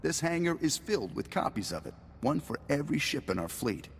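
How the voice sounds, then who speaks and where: Casting: wry game pirate chief, lively swings in pitch, polite bargainer, reverberant noisy hall. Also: male voice. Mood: wry, placating. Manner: polite, wry, measured hesitations. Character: smooth-talking male pirate leader, wry, bargaining, calculating. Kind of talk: video game voice acting.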